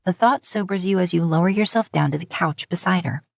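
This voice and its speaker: A woman's voice: fairly low pitch, fairly quick, gravelly, no echo, studio quality. A woman reading scripted fiction as an audiobook narrator.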